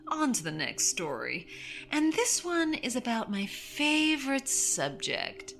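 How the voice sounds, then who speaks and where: A woman's voice: fairly high pitch, big pitch swings, slow, creaky, fairly bright tone, faint music behind. A woman hosting a story show in a playful, teasing and theatrical manner. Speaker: host of a scary story show.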